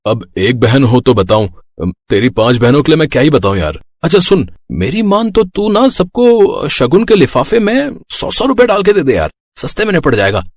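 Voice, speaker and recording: A man's voice: medium pitch, sing-song, moderate pace, few pauses, no room sound, clean studio sound, pitched above the performer's own voice. A man voicing a cheeky, blunt young office friend in a dramatized story, talking casually in slangy, joking banter.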